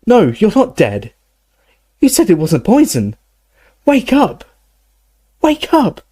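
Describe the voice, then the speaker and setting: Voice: medium pitch, very animated, slow pace, mellow, no room sound, pitched above the performer's own voice. A storyteller voicing a desperate young male wizard, anxious and urgently coaxing, as in an audiobook. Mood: panicked.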